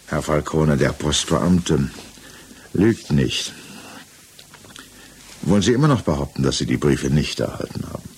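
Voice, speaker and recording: An actor playing a stern, methodical examining magistrate in a 1960s German radio play, speaking formally, precisely and insistently. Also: male voice, rumbling low, animated, a little slow, long pauses. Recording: noisy 1960s mono radio recording.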